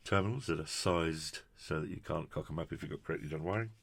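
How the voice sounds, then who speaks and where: A man's voice: rumbling low, quick pace, creaky, slightly rough. A British man presenting a casual hands-on product review in a chatty, jokey, effusive way with quaint turns of phrase. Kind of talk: casual hands-on unboxing commentary.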